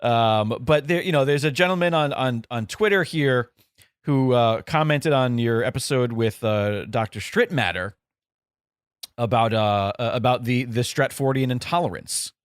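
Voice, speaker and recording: A man co-hosting a casual podcast chat, reading listener emails and talking in a halting, easygoing way with many fillers. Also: medium pitch, smooth, no echo, clean studio sound. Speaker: producer and guest co-host.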